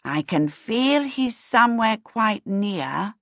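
A brisk, no-nonsense middle-aged witch from a storybook, performed by a woman reader. She is dry, severe and impatient, swinging widely in pitch to stress her point. The voice is a little husky, on slightly muffled audio. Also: lively intonation, no echo. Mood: brisk concern. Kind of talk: audiobook character voice.

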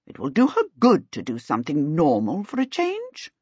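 A woman's voice: deep voice, huge pitch swings, moderate pace, darkish tone, husky, no echo, muffled recording, heavily acted. A woman voicing a bossy, rich older aunt who gives commands in an audiobook. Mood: imperious. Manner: commanding, decreeing. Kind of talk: audiobook character voice.

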